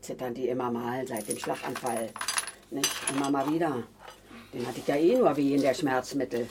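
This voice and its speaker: A woman's voice: rumbling low, fairly even intonation, normal speed, very noisy. An actress playing a frail, flustered old grandmother who fusses and repeats herself in a naturalistic radio drama. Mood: chatty, plaintive. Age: older. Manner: fussy, muttering, repeating herself. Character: frail, flustered old granny baking drug cookies.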